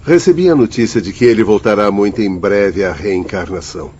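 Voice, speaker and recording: Low-voiced, grave Brazilian mentor played by a man. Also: a little fast, dark tone, lightly acted. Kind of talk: radio drama acting. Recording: dull, noisy drama audio.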